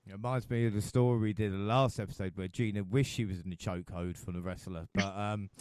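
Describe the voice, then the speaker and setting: Man, podcast host, smooth resonant voice, dry close sound, jokey casual chat. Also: lowish voice, steady tone, fast talker, a little mellow, no echo. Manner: jokey, laughs often, mumbles asides.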